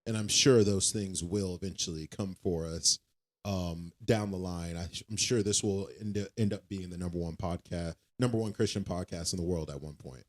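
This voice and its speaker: Low-voiced earnest man preaching casually. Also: moderate pace. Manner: earnest, preachy, self-correcting with restarts. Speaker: podcast co-host. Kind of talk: casual faith chat.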